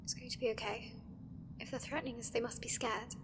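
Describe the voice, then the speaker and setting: A woman's voice: fairly high pitch, steady tone, a little fast, natural voice. A woman playing an exhausted prisoner who speaks in a wry, half-whispered way in an audio drama. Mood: weary. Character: weary imprisoned woman, dry humor. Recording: reverberant, noisy ambience.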